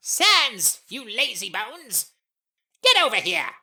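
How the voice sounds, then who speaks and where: A woman playing a loud, excitable, goofy skeleton monster in an audio drama, with a sharp, raspy voice. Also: on the high side, takes it slowly, heavily acted. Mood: scolding.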